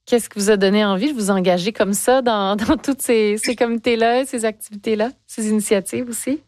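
A woman's voice: medium pitch, steady tone, brisk, no echo. A woman interviewing young guests, asking encouraging questions with frequent hesitations. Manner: warm encouraging questions with many fillers. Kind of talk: radio interview.

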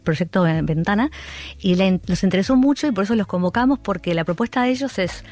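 A woman's voice: medium pitch, expressive, fast talker, darkish tone. A woman from Argentina with a Rioplatense accent, a guest who speaks in a casual interview with many fillers and restarts. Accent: Rioplatense Spanish. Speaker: art curator guest.

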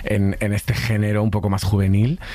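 A man with an accent from Spain, asking casual interview questions in a halting way, searching for words. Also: on the low side, little pitch movement, a loud crowd.

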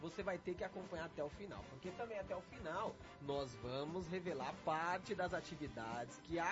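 A Brazilian man with a high voice hosts a chatty radio programme. He talks straight to his listeners in a lively way. The sound is slightly muffled, with music playing clearly behind him. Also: normal speed. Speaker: radio host. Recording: muffled band-limited sound over loud music.

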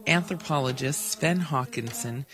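A man reading scripted documentary narration in a measured, even way. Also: medium pitch, poor audio.

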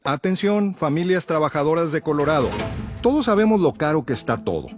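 A man's voice: a little high, very animated, brisk, music in the background. A man reading a political radio ad in an urgent, persuasive voice. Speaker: ad announcer. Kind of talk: political ad voice-over.

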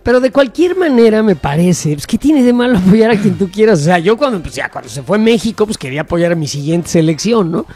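High-voiced Mexican man chatting playfully. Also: big pitch swings, fairly quick, hardly any pauses, a little mellow, soft background music. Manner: playful, slangy. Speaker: co-host of an entertainment talk show. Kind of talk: casual comedic chat.

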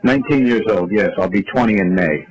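Man on a prank call: deadpan, mock-innocent, lively pitch. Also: fairly quick, husky, lo-fi sound. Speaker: host fielding a telemarketer's call.

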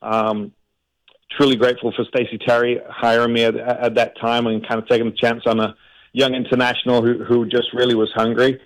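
Man with a flat, steady voice. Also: mid-range voice, fairly quick, poor audio. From England. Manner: grateful, earnest, flowing storytelling. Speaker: basketball coach guest. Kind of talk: radio interview answers.

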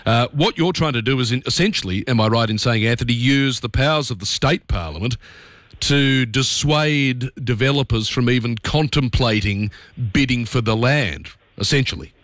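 A middle-aged Australian man hosting talk radio, brisk and opinionated in a conversational way. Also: mid-range voice, a bit bright, no room sound. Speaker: radio host. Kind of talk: talk radio commentary and interview.

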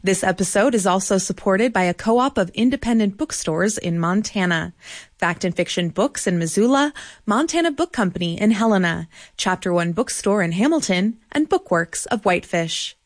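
A woman radio host introduces her guests and credits her sponsors in a measured, clear read. The sound is dry and close, with no room around her. Her pitch sits in an ordinary middle range. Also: animated, dry recording. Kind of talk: scripted radio introduction and interview questions.

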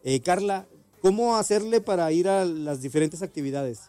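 Mellow northern Mexican man, higher-pitched, interviewing. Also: normal speed. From Ciudad Juárez, Mexico. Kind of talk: casual conversational interview.

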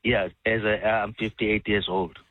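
A man in his fifties from South Africa calling a radio show, speaking in a halting way with many fillers. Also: lowish voice, level tone, dry recording. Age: 50s. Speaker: caller. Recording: tinny phone line.

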